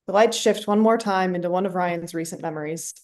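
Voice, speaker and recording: A woman's voice: on the low side, fairly quick. A woman reading scripted stage directions as narrator for a play reading.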